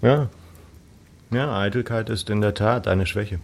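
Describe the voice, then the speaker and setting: Low-voiced, proud, reserved gentleman. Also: gravelly, slight background noise. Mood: earnest, proud. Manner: measured, formal, hesitant phrasing. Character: proud, reserved young gentleman of Regency England. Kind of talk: naturalistic German radio drama acting.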